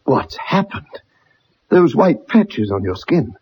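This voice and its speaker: A loyal young army comrade, earnest and full of concern. He has a breathy, slightly rough voice at a middling pitch. The sound is that of a muffled vintage radio drama. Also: sing-song, slow pace, exaggerated voice. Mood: shocked. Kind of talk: 1960s radio drama acting. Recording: muffled, dull old radio recording.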